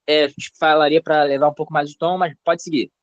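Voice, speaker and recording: A man moderating a video call session, introducing the guest speaker in a measured, formal way. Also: a little high, level tone, dry recording, dull audio.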